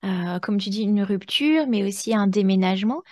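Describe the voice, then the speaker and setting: A woman's voice: mid-range voice, normal speed, dark tone, no room sound. Woman podcast host, close dry sound. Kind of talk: conversational interview.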